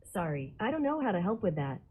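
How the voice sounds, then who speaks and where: Machine female assistant voice, short flat prompts, a little husky. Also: mid-range voice, fairly quick, narrow, tinny audio.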